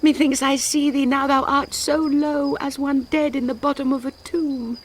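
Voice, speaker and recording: High-voiced, passionate young bride played by an actress. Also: female voice, little pitch movement, moderate pace, a little hiss or hum, lightly acted. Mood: anxious, loving. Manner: heightened, poetic, earnest delivery. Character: passionate, grief-stricken young noble bride. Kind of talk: classical Shakespearean radio drama acting.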